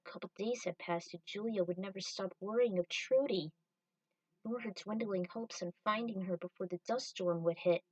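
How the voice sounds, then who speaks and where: A woman narrates a fan-written story as its storyteller, at a medium pitch. She sits slightly away from the microphone, with little room sound around her. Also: moderate pace, no room sound, distant mic, poor audio.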